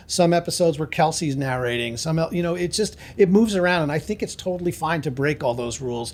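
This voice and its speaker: A man is a guest on a podcast and talks casually about making his show. He sounds relaxed and reflective, and he laughs easily. His voice sits somewhat high for a man. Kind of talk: casual interview chat.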